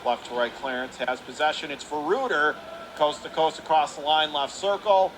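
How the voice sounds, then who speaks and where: Man, high voice, radio hockey play-by-play, clipped phrases, excitable, noticeable rink noise behind. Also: normal speed. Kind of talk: live hockey play-by-play commentary. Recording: noisy live rink recording.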